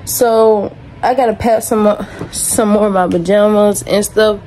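A woman's voice: medium pitch, fairly even intonation, measured pace, dark tone, a little hiss or hum, poor audio. A young woman, chatting casually to her phone in her bedroom, rambling with lots of fillers. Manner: laid-back, rambling, many fillers. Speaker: vlogger. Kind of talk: casual vlog chat to camera. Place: bedroom at home, indoors. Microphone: phone held or propped nearby.

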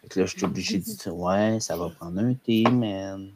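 A man's voice: sits low, moderate pace. A young man with a Quebec French accent chatting casually and emphatically, repeating himself and swearing now and then. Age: young adult. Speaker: podcast panelist. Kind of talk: casual group chat. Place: small room at home, video call. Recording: noisy video-call audio with clicks.

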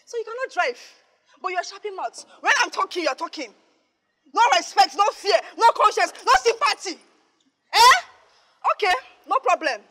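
A woman playing a hot-tempered, money-proud Nigerian woman in a comedy skit, scolding in loud, indignant, repeated phrases with a Nigerian accent. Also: very high voice, animated, normal speed, no room sound, exaggerated voice. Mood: indignant ranting.

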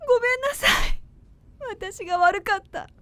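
An actress playing a frail, tender and remorseful dying mother in a radio drama, speaking haltingly. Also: very high-pitched, a bit bright. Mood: tearful, remorseful. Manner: slow, halting, tearful delivery. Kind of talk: Japanese radio drama acting.

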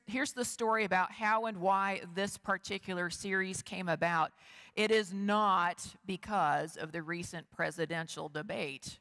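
A middle-aged woman pastor reading scripture and preaching, with a measured, expressive delivery and slow emphatic pauses. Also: on the low side. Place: large wood-paneled church sanctuary. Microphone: lectern and stand microphone, far camera. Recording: long church hall reverb.

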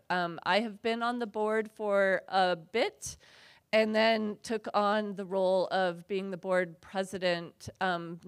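A woman, a board president, introducing herself on a conference panel in a relaxed, conversational way with many fillers, on a handheld mic. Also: lowish voice, clean tone. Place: conference hall on stage.

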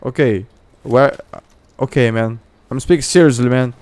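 A Brazilian man with a smooth, resonant voice, chatting with other players during a game. He is playful and teasing, and he switches between Brazilian-accented English and Portuguese. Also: mid-range voice, normal speed, a little mellow. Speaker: streamer. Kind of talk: joking multiplayer game chat.

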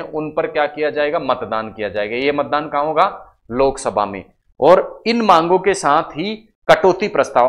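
A man's voice: fairly high pitch, a bit bright. A man lecturing didactically, asking rhetorical questions, answering them himself and repeating key phrases. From India. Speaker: teacher for competitive exam classes. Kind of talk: classroom lecture explaining to students. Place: indoor studio classroom in front of a digital board. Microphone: clip-on lapel microphone.

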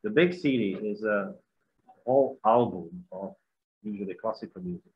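A man's voice: fairly low pitch, normal speed, slightly rough. Czech-accented man on a muffled video call. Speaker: guest musician. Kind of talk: webinar video call conversation. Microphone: video call laptop mic. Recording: dull lo-fi video call audio.

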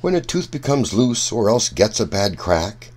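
A man reading a rhyming children's book aloud in a deliberate, sing-song storytelling manner. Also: on the low side, big pitch swings, darkish tone.

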